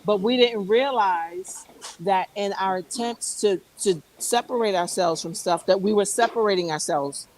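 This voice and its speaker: Woman host, mid-pitched, emphatic, circles back on her points. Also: a little slow, a little mellow, poor audio. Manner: emphatic, repeats herself, restarts often. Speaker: podcast host. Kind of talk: casual chat.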